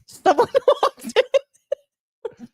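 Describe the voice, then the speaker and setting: Animated, foul-mouthed woman chatting. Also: very high-pitched, drawn-out words, no room sound, studio quality. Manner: crude, profane, animated storytelling. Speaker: co-host. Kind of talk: casual comic chat, telling an anecdote.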